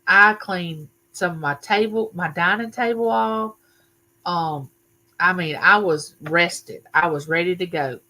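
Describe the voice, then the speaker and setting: Loud, bright-voiced older woman. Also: on the low side, a little slow. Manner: loud, chatty, greets viewers by name. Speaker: livestream host. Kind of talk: casual live chat with viewers. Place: home room used for filming.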